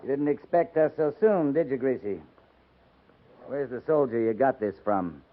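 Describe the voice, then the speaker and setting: A man's voice: medium pitch, moderate pace, close to an everyday voice. Man acting stern cavalry captain on tinny old radio. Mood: stern, dry. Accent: American. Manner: clipped, authoritative, dryly sardonic. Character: commanding male US cavalry captain, stern and dry. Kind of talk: 1950s radio western acting. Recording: tinny, noisy 1950s radio recording.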